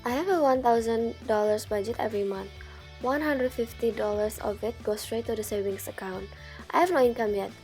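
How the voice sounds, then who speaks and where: A woman's voice: a little high, a little mellow. Young woman reading a video journal. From Indonesia. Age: young adult. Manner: plain, careful reading with a few slips of grammar. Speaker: student. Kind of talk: read-aloud video journal narration.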